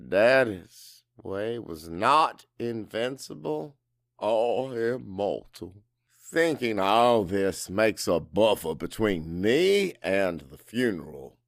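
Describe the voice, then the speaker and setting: A man narrating a dramatic first-person audiobook in an emotive, deliberate way with pauses for effect. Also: lowish voice, lively intonation, creaky, dry recording, clean studio sound.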